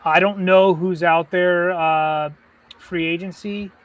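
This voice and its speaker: A man with a somewhat high voice co-hosts a casual live sports stream. He talks in a loose, rambling way, filling his speech with "like" and "you know". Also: fairly even intonation, takes it slowly. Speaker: podcast co-host.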